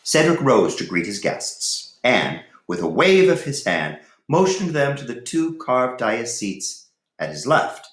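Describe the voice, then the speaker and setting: A man teaching and reading aloud to students in a deliberate, instructive way, with pauses. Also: mid-range voice, very animated, fairly bright tone. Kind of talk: lesson talk and chapter read-aloud. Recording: echoey, reverberant room.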